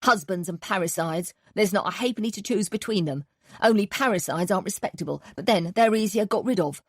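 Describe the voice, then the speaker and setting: A woman reading an audiobook, voicing an angry, shrill, indignant older landlady. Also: brisk, dry recording, studio quality, natural voice.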